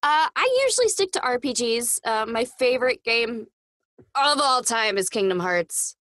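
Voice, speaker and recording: A woman's voice: a little high, animated, moderate pace, a bit bright, dry recording, clean studio sound. A woman guest on a podcast chatting casually about games in a playful, teasing, exclamatory way.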